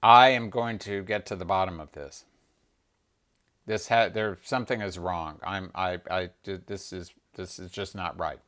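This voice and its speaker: Stubborn, insistent goddess wife, acted by a low-voiced man. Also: measured pace, fairly bright tone. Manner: insistent, protesting, emphatic.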